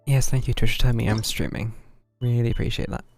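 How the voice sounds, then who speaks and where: A man's voice: medium pitch, level tone, fast talker, gravelly, darkish tone, faint music behind. A man giving casual live game stream commentary, muttering to himself in a dryly wry way.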